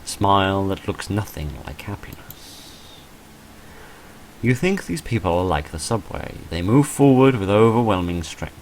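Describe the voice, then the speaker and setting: A man's voice: low-pitched, sing-song, a little slow, slight background noise, low-quality recording. A man reading visual novel text aloud dramatically, with dry, understated wry commentary in between. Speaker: gamer recording a playthrough.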